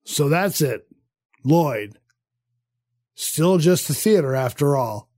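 A man acting in an audio drama as an urbane, sardonic theatre critic with a measured, condescending manner and quiet menace. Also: on the high side, expressive, dry recording, studio quality. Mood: dry, probing. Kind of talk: audio drama acting with first-person narration.

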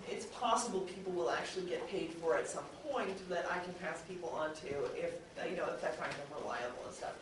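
A chatty woman talks in an informal panel discussion, rambling and often leaving thoughts unfinished. She sounds far from the microphone in a very echoey room, with faint noise behind her. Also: lowish voice. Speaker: audio drama producer and panelist. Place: convention panel room, indoors.

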